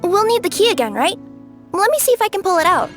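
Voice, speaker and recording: A woman's voice: very high-pitched, sing-song, quick pace, fairly bright tone, faint music behind, heavily acted. A woman voicing a small, cheerful, childlike adventure companion in a video game, eager and cute. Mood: eager, playful. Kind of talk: video game character acting.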